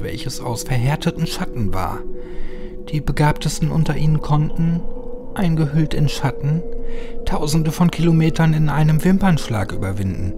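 Horror audiobook role: male narrator as a trusting, frightened young woman, pitched up, halting and pleading. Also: natural voice.

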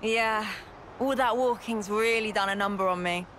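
A woman's voice: mid-range voice, moderate pace, a bit bright, slight background noise, close to an everyday voice. A woman playing a brash, teasing young soldier in a video game, with a British accent and blunt, sarcastic, slangy delivery. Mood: weary. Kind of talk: video game cutscene acting.

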